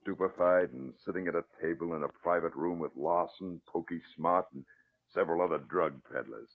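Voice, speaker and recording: A troubled older police commissioner speaks bluntly in an extremely low, creaky, husky voice. The style is vintage 1940s radio drama, heard through a muffled, narrow old broadcast recording. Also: male voice, fairly quick. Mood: bitter, defeated. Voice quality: gruff, gravelly.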